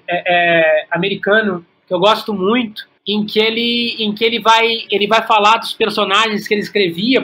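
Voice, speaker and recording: Brazilian man, thoughtful and halting. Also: sits high, sharp tone. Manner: halting, repeats words while thinking aloud. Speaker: guest playwright and director. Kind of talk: reflective interview conversation.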